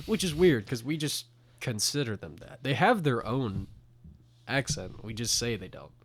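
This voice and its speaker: A man's voice: medium pitch, very animated, a little slow. A young man with a light Southern accent, co-hosting a casual podcast chat and laughing often. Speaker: podcast co-host.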